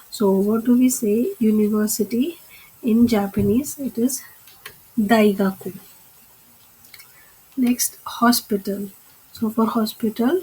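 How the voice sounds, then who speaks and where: A woman with a husky, slightly breathy voice teaches vocabulary. She speaks slowly and with care, and she says each new word twice. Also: mid-range voice, darkish tone, low-quality recording. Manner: slow, deliberate, repeats each word. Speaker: language teacher. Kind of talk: vocabulary lesson.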